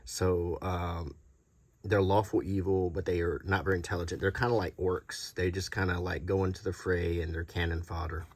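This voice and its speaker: A middle-aged man doing a casual, unscripted show-and-tell as a video host, talking in a halting, rambling, conversational way. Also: sits low, flat delivery, no room sound. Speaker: YouTube host showing vintage tabletop game monster cards.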